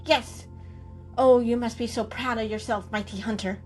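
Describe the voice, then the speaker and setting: A woman voicing a small, jumpy, suspicious bird with a shrill chirp and sarcastic delivery, in an animal storybook reading. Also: moderate pace, soft background music, natural voice, poor audio. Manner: sarcastic, excitable.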